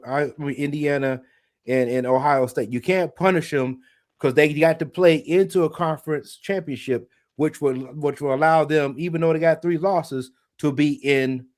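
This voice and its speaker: A man's voice: mid-range voice, darkish tone. A man co-hosting a casual sports podcast, rambling with many fillers and restarts. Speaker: podcast co-host.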